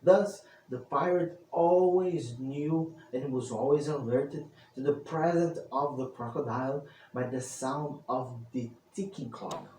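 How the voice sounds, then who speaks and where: A man's voice: mid-range voice, unhurried, hardly any pauses, warm tone. A man narrating a scripted tale as an old-fashioned storyteller, with theatrical, grandiose delivery. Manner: theatrical, grandiose greetings and sign-off. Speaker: storyteller, channel host. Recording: roomy, distant mic with faint noise.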